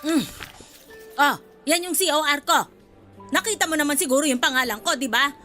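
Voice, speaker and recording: A woman's voice: high voice, normal speed, pushed and bright, faint music behind. An actress in a Filipino radio drama playing an indignant woman near sixty, a new college freshman who is combative, exasperated and demanding. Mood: angry, insistent.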